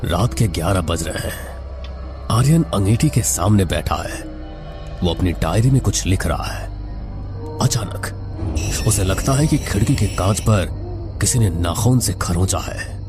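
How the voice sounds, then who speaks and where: Low-voiced man narrating horror over music. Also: animated, a little slow, long pauses. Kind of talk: scripted horror story narration.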